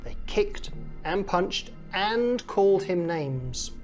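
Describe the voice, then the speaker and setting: A woman reading a children's story aloud in a deliberate, dramatic style. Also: medium pitch, lively intonation, a little mellow, soft background music.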